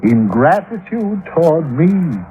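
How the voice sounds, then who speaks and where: Pompous, declaiming Shakespearean ham. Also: male voice, on the high side, sing-song, takes it slowly, highs cut off. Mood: lofty, self-pitying. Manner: theatrical declaiming, archaic speech. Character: pompous Shakespeare-quoting male actor, vain and grandiose. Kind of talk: 1950s radio drama acting. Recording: old radio recording, music behind.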